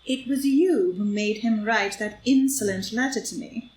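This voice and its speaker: Lady playing an arch menacing society schemer, kinda high voice, stage play read in an echoey room. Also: unhurried, darkish tone, natural voice. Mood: cool, menacing. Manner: arch, taunting, theatrical delivery. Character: scheming, amused, menacing society woman blackmailer. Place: indoor room, live group reading. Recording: roomy live group reading, faint noise.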